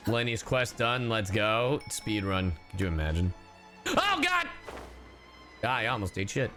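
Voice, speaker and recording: A man's voice: on the low side, wildly swooping pitch, unhurried, bright, forceful tone. A man doing casual, jokey livestream commentary over a video game, playful and full of slang. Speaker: streamer, VTuber. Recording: game music behind. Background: video game music.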